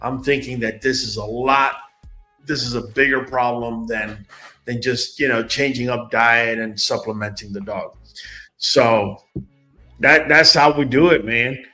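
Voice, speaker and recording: A man's voice: medium pitch, steady tone, a little slow, sharp tone, faint music behind. A man hosting a casual livestream, talking straight to his viewers in a chatty way and often repeating words. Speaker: livestream host.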